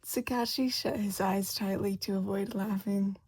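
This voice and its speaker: A woman's voice: mid-range voice, fairly even intonation, normal speed, a little mellow, slightly rough, no room sound. A woman reading a story aloud as scripted narration in an amateur audiobook style.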